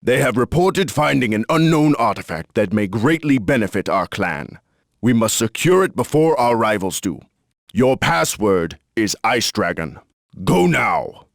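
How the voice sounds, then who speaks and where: Gravelly, stern male temple guard. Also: mid-range voice, sing-song, a little slow, no room sound, clean studio sound. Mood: commanding. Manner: formal, commanding, declamatory. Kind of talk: video game cutscene voice acting.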